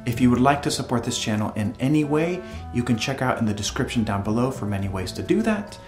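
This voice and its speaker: A man speaks in an earnest, reverent and unhurried way as he hosts devotional videos, shifting between personal storytelling and reading aloud. His voice sits at an ordinary middle pitch. Soft music plays faintly behind him. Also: expressive. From El Salvador.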